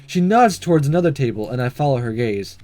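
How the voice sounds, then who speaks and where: A man reading a visual novel aloud as a gaming host, mixing expressive narration with casual commentary. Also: mid-range voice, quick pace.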